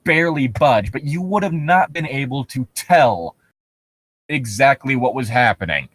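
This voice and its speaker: A man's voice: mid-range voice, expressive, a little slow, a bit bright, no room sound. A man co-hosting a podcast in a casual, comedic way, picking things apart with incredulous, profane commentary. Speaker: podcast co-host reading and commenting on a horror story.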